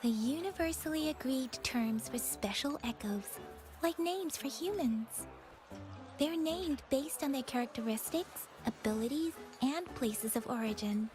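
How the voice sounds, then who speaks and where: A woman playing a thoughtful, composed young female scholar in a video game, explaining things in a measured way. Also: sits high, animated, music in the background. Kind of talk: video game dialogue acting.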